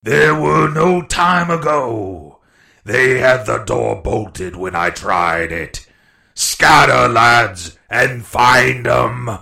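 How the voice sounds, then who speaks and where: A man's voice: medium pitch, vocal fry, bright, forceful tone, exaggerated voice. Nasty blind pirate boss for an audiobook, roaring, drags out his orders, really bossy. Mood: enraged. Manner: bellowing, drawn-out commands. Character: furious blind pirate leader, villainous, domineering. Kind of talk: audiobook character voice.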